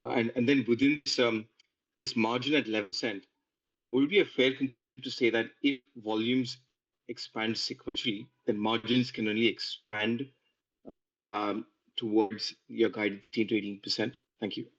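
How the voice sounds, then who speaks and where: A male financial analyst asking questions on an earnings call in a polite, halting way. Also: mid-range voice, steady tone, a bit bright. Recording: muffled conference call line.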